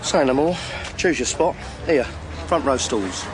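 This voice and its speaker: An actor playing a chummy ticket tout and small-time rogue in a radio drama, with cheeky, ingratiating patter. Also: male voice, mid-range voice, animated, measured pace, background noise, natural voice. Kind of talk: BBC radio drama acting. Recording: busy street crowd behind.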